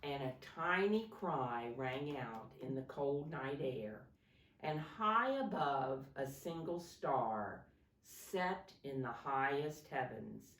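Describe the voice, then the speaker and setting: Female preschool story reader, low register, deliberate teacherly delivery, recorded in a roomy space. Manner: slow, deliberate, pausing to ask young listeners questions. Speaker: teacher reading a picture book to preschoolers. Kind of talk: expressive children's storybook read-aloud. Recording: roomy echo, distant microphone.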